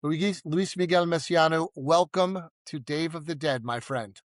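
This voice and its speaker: Host: man, fairly high voice, dry close recording, upbeat and emphatic interviewer. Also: a little slow, dry recording. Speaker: podcast host. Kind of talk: podcast introduction and interview.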